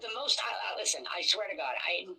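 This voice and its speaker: Raspy, forceful, blunt woman. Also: medium pitch, lively intonation, fast, poor audio. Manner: blunt, profane, self-deprecating. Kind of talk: casual emotional venting.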